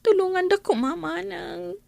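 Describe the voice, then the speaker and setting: A woman's voice: very high voice, unhurried, vocal fry, a little mellow, dry recording, heavily acted. A woman playing a wronged, struggling mother in a radio drama, speaking with an Ilocano accent. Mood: subdued, grateful. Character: Marlyn, long-suffering wronged wife and struggling mother.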